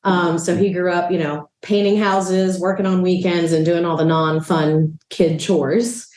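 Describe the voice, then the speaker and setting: A woman from El Salvador with a notably low voice chats easily in an interview, filling her sentences with "um" and "you know". She sounds like she is in a roomy apartment with echo around her. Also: measured pace. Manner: relaxed, chatty, frequent "um" and "you know". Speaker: real estate agent and investor. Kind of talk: casual interview chat. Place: a rental apartment.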